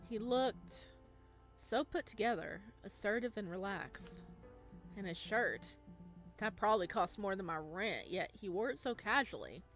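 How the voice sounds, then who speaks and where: A woman's voice: medium pitch, expressive, measured pace, a bit bright, faint music behind. Woman reading as a weary, self-doubting young man. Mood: awed, nervous. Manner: introspective, hesitant narration.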